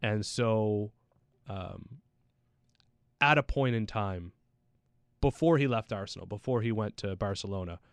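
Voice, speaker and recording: A man's voice: lowish voice, a little slow, pauses often, dry recording. A man chatting casually about sports as a pundit, in a slangy, anecdotal way. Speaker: soccer pundit on a talk show.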